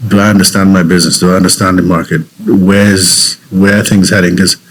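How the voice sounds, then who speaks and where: A man's voice: sits low, level tone, fairly quick, slightly rough, rough recording. A man from Trinidad and Tobago with a Trinidadian accent, a guest telling his story in an interview, reflective and earnest with frequent restarts.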